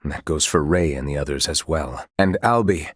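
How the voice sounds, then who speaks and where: A noble royal knight commander from a video game, real low husky voice, formal old-timey courtly talk, dry close sound. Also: male voice, no echo. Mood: calm, formal. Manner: formal, archaic, measured courtly speech.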